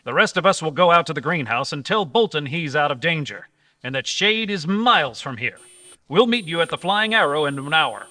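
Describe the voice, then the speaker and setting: A man's voice: on the high side, big pitch swings, a little fast, sharp tone. A man playing a brave, decisive adventure hero in an old-time radio drama performed live. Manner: crisp, decisive, commanding. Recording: live stage performance in reverberant hall.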